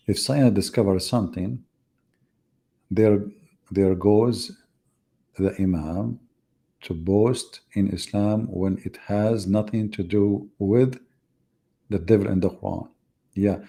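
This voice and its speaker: Gravelly, dark-voiced mocking man. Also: lowish voice. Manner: mocking, ironic, rambling asides. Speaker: livestream debate host. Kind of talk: sarcastic live-stream commentary.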